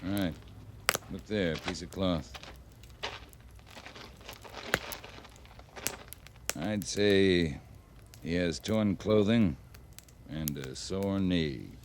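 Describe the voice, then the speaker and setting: An actor playing a steady, earnest US cavalry officer in a radio western, talking in a plainspoken, deliberate way. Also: male voice, low voice. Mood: focused, businesslike. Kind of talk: 1950s radio western acting. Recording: slightly noisy vintage radio recording.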